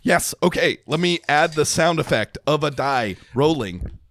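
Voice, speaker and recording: A man co-hosting a podcast in casual review chat, explaining things in a wry, halting way. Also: a little high, expressive, fairly bright tone, no room sound. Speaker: podcast co-host.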